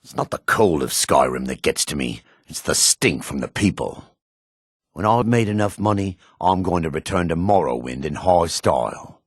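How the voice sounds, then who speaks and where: An actor playing a jaded, sardonic male dark elf in a fantasy video game, with a husky voice. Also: sits low, expressive, moderate pace, dry recording, clean studio sound. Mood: bitter, sardonic. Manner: sardonic, disdainful. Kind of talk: video game character voice acting.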